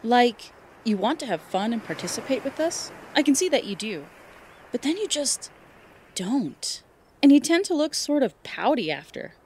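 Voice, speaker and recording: A woman acts as a young woman with a slightly high, very animated voice. She is easygoing and caring, with gentle, casual, halting phrasing suited to audiobook dialogue. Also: slight background noise. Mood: gentle concern.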